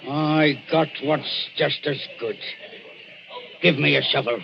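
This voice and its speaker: An actor playing a swaggering, bullying Cockney grave robber in a blustering 1940s radio drama style. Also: male voice, a little high, steady tone, slow pace, gravelly, a little hiss or hum, exaggerated voice. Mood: bold, gruff. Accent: English Cockney. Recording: muffled 1940s radio broadcast, faint music.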